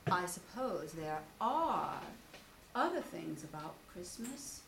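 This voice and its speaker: A woman voicing a gentle, slow-witted, good-natured male toy bear in a mild, musing storybook manner. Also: lowish voice, very animated, pitched below the performer's own voice, heavily acted. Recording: distant echoey room with faint noise.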